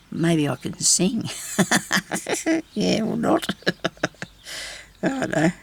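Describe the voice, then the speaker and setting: An older woman with a deep, raspy voice, chatting casually and laughing at herself while she does craft work. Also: very animated, drawn-out words, long pauses. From Australia. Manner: chatty, self-deprecating, laughs often. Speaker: craft vlogger.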